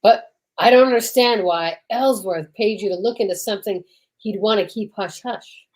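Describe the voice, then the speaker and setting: A woman's voice: medium pitch, moderate pace, lightly acted. A woman playing a plain-spoken police detective and incredulous sidekick in an old-time radio mystery. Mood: questioning, matter-of-fact.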